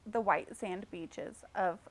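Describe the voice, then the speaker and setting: A woman teacher reading a storybook aloud expressively to children, pausing to check in with simple questions. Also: mid-range voice, a little hiss or hum.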